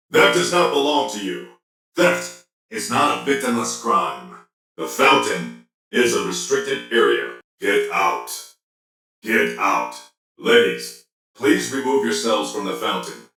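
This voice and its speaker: A man playing a stern, officious robot policeman in a video game, speaking in clipped formal announcements through robotic processing. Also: medium pitch. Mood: formal, reprimanding. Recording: echoing, distant, music underneath.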